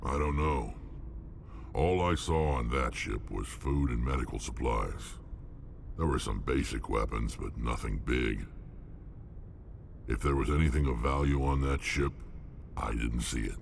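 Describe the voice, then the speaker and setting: An actor playing a gruff, hulking alien mercenary in a video game, blunt and menacing, with a gravelly rumble. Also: male voice, unhurried, pauses often, full character voice. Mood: blunt, wary. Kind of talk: video game character dialogue.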